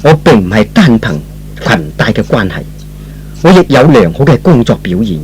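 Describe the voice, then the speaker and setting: A man's voice: mid-range voice, sing-song, moderate pace, darkish tone, a little hiss or hum, voice pushed down, lightly acted, poor audio. A man playing an earnest, principled young employee with a hesitant, deferential manner, in old-fashioned radio drama style. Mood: wounded pride.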